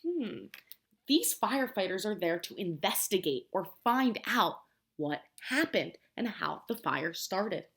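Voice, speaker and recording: A woman teacher reading a picture book aloud to young children, explaining simply and prompting them with questions in an expressive way. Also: mid-range voice, unhurried, gravelly. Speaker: preschool teacher.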